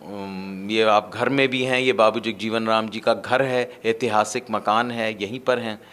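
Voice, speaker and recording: A man's voice: lowish voice, fairly even intonation, a little slow, a bit bright. A man from India answering interview questions as a political candidate, speaking earnestly with fillers and restarts. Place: his family home.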